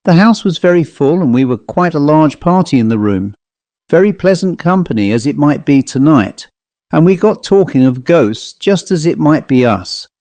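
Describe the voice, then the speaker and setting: A man's voice: a little high, animated, moderate pace, warm tone, dry recording. Guy doing a chatty dapper salesman spinning a ghost yarn, friendly, dry audiobook sound. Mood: amiable, confiding. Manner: genial, colloquial, anecdotal. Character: dapper commercial traveller, chatty male raconteur of ghost stories. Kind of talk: dramatised audiobook storytelling.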